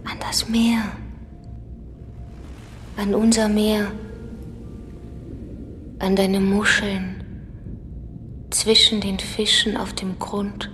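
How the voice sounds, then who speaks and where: An actress playing a ghostly beloved woman in a poetic radio drama, hushed and incantatory as she calls up memories. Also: mid-range voice, little pitch movement, drawn-out words, long pauses, a little hiss or hum. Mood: hushed, beckoning. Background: music.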